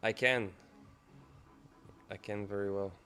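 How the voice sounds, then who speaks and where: Young man, lowish voice, relaxed livestream host musing to himself over faint music. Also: slow pace. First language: Dutch. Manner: casual, loose, musing half to himself. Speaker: trading livestreamer. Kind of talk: live-stream commentary, thinking aloud.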